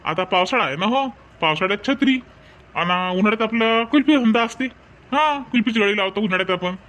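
High-pitched village street seller, acted by a man, Varhadi accent. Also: expressive, brisk, a bit bright. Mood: persuasive, friendly. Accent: Varhadi Marathi from Vidarbha. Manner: folksy, talkative sales patter. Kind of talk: comic cartoon dialogue.

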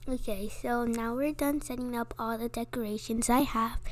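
A woman's voice: a little high, steady tone, moderate pace, faint music behind. A woman casually narrating and acting out a homemade toy-world game story, with simple, hesitant phrasing. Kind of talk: casual acted play narration.

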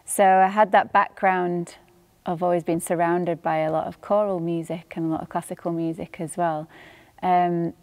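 Woman, thirties, Yorkshire accent, easygoing interview guest with a slightly low voice, lapel mic in a studio hall. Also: moderate pace. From born in Northern Ireland, raised in England. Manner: relaxed, thoughtful, laughs easily. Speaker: guest musician. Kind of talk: casual interview chat. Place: studio hall with a grand piano.

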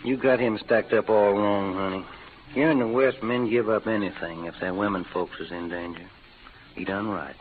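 A man's voice: lowish voice, steady tone, normal speed, lightly acted. An actor playing an easygoing, modest cowboy gunman with an American Western accent and wry, slangy drawl in an old radio western. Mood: tender, firm. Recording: tinny, noisy old radio recording.

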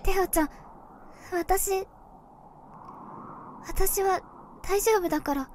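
A woman acting a timid, gentle village girl in an audio drama, speaking hesitantly. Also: extremely high pitch, slow pace, slight background noise.

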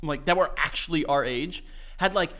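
A man's voice: on the high side, normal speed, sharp tone. A young man co-hosting a podcast in casual chat, joking and laughing often, talking into a desk mic in a small studio. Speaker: podcast co-host. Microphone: desk mic on stand.

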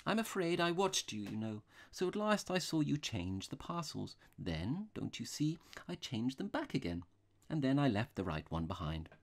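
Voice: medium pitch, sing-song, normal speed, voice pushed up. A reader voicing a mild, vague little rustic priest in a storybook, unworldly, hesitant and apologetic.

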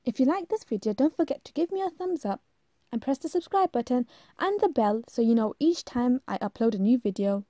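A woman's voice: a little high, animated, brisk, warm tone, no echo, studio quality. A woman hosting a read-aloud of children's bedtime stories. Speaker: channel host and storybook reader.

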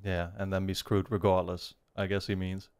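A man's voice: deep voice, little pitch movement, normal speed, darkish tone, smooth. A man in his twenties giving casual reaction commentary in informal, slangy speech, thinking aloud, close on a studio mic. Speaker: anime reaction YouTuber. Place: small furnished room, indoors. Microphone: studio mic on a boom arm, close.

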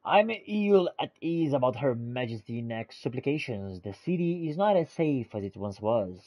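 A man giving casual in-character game commentary and reading notes aloud, halting and muttering as he goes. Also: medium pitch, animated, dry recording, lo-fi sound. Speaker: gamer roleplaying and narrating a playthrough.

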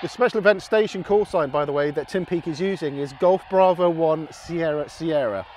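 A man in his forties with a fairly high voice explains things casually, as a hobby vlogger would. He is recorded outside on an open roadside, with clear noise from passing traffic around him. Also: moderate pace, darkish tone. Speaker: amateur radio vlogger. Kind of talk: casual explanatory chat to camera. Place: outdoors on an open hilltop beside a road. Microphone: camera mic at about arm's length or more.

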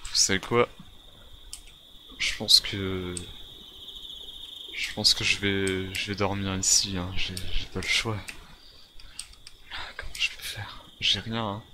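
Male gamer, lowish voice, hesitant in-character survival roleplay commentary, repeats himself, very noisy recording. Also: level tone, slow, long pauses. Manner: hesitant, repetitive, thinking aloud. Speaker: gaming video creator playing a survival roleplay. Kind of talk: improvised in-character gameplay commentary. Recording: clearly noisy gameplay recording.

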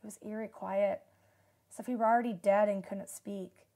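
A woman's voice: mid-range voice, steady tone, moderate pace, dark tone, lightly acted. A young woman playing a solemn nineteen-year-old disaster survivor giving testimony in a radio drama. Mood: somber, reflective. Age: young adult.

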